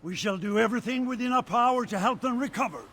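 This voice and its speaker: An actor playing an earnest, proud eastern Nord leader who speaks in a reflective way in a video game cutscene. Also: male voice, very high-pitched, fast talker, a bit bright, full character voice.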